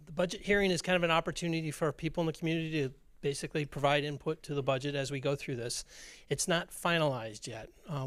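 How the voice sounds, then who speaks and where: A hoarse man, a school district business director giving an informal budget presentation at a public meeting, with many fillers and restarts. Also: fairly high pitch, no echo.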